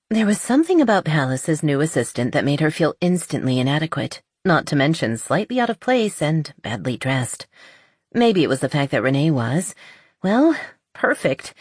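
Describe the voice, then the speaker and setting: Female audiobook narrator for romance fiction, low register, close dry sound. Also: husky, dry recording. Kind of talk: scripted narration of a romance novel.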